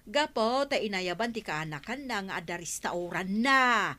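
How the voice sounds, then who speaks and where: A woman's voice: mid-range voice, expressive, normal speed, bright, forceful tone. An actress playing a frank village woman with an Ilocano accent, reproving a neighbour in a comedy radio drama. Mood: explaining, reproving.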